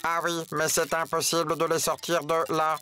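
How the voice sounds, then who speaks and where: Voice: medium pitch, flat delivery, moderate pace, sharp tone, clean tone, soft background music, natural voice. An actor voicing a bossy veteran space toy robot in a cartoon, with a clipped, commanding military manner. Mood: indignant. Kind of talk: French animated series dub acting.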